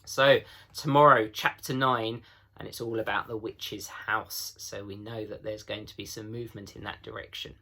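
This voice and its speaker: A man, a teacher, giving an expressive storybook narration for children. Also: lowish voice, normal speed. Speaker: teacher reading aloud.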